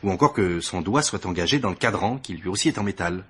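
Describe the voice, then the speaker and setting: Eager, husky police assistant. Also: male voice, low voice, lively intonation, fast talker, fairly bright tone, exaggerated voice. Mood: confident, deductive. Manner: emphatic, methodical explaining. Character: eager, deferential police assistant, proud of his deductions. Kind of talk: French radio mystery play acting.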